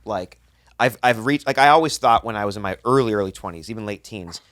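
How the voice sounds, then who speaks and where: A man in his late thirties talks bluntly and rambles, swearing freely. His voice sits a little low, and the recording is close and dry with no room sound. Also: fast talker, fairly bright tone, no echo. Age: 30s. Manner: blunt, profane, rambling with restarts. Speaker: podcast co-host, chef. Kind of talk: casual podcast chat.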